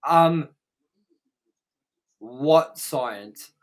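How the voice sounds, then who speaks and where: A man's voice: on the high side, extremely slow, poor audio. A man hosting a casual, sweary movie review show, talking in a sarcastic, exasperated way.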